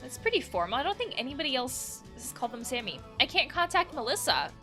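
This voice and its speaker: A woman's voice: sits high, big pitch swings, fast, bright, forceful tone, soft background music. A woman hosting a casual let's play, reading game text aloud and adding chatty asides as she reacts. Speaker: host of a video game let's play, therapist.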